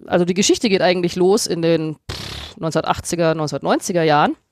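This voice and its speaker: A woman's voice: on the low side, fast talker, darkish tone, a little hiss or hum. A woman co-hosting a science podcast with a cold, telling stories enthusiastically and laughing often. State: has a cold. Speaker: podcast co-host, astrophysicist. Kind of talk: casual science podcast storytelling.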